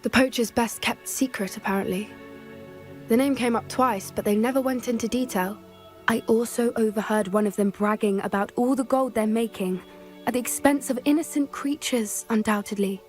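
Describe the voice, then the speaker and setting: An actress playing an earnest young witch student who cares for creatures and talks quickly and confidingly. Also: a little high, darkish tone, soft background music, close to an everyday voice. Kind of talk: video game voice acting.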